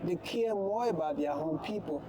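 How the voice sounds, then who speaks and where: A man's voice: on the high side, moderate pace, a loud crowd. A man hosting a casual interview, often repeating his guest's words and exclaiming. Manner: echoes the speaker's words, frequent exclamations. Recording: background music.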